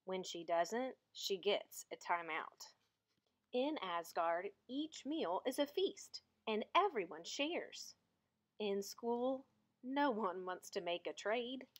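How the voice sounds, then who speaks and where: A woman reading a children's picture book aloud in an expressive, deliberate storytelling manner. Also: medium pitch. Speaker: storybook reader.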